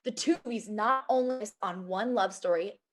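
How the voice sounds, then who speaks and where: A woman playing an ambitious, quick-thinking executive assistant in a table read, speaking in an earnest, persuasive way. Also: medium pitch, close to an everyday voice. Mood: eager pitching. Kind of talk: acted screenplay table read.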